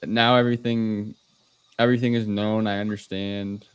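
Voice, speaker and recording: A man's voice: fairly low pitch, flat delivery, moderate pace, clean tone. A man co-hosting a casual film discussion in conversational style. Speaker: podcast co-host.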